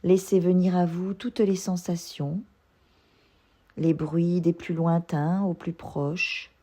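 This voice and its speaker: A woman yoga instructor leading a guided relaxation meditation, giving gentle, soothing instructions. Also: fairly low pitch, husky.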